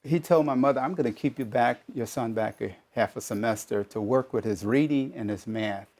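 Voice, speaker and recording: A man's voice: medium pitch, a little fast, a little mellow. A man in his seventies, a plain-spoken interview guest sharing personal experience. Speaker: retired volunteer tutor, interview guest.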